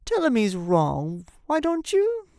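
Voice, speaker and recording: A woman's voice: high-pitched, big pitch swings, unhurried, no room sound, studio quality, pitched above the performer's own voice, full character voice. A woman voicing a querulous seventy-year-old newborn man in a storybook, with a weak, cracked, whining voice. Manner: complaining, querulous.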